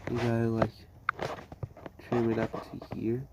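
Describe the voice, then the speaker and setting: A man's voice: medium pitch, completely flat delivery, unhurried. A man giving a casual tutorial in a hesitant way, often restarting and repeating his phrases. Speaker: tutorial host. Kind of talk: casual screen-recording tutorial. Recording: loud background noise, clicking.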